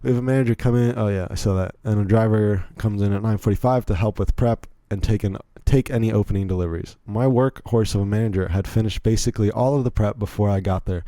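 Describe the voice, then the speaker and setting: Low, mellow-voiced American man rambling. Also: quick pace, few pauses, no room sound. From Virginia, United States. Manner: rambling, chatty, self-interrupting asides. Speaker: podcast host. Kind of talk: casual solo podcast storytelling.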